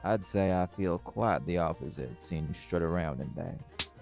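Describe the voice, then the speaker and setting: A man's voice: sits low, normal speed, vocal fry, music in the background. A man playing a charming, unbothered cowboy desperado in an intimate audio roleplay, folksy and suave with teasing endearments. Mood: flirty.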